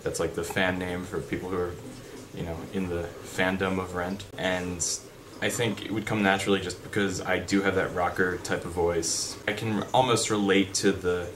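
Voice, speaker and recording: A young man with a deep voice chatting unscripted in a vlog, rambling with fillers and restarts. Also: flat delivery, normal speed, hardly any pauses. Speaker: vlogger. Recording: background noise.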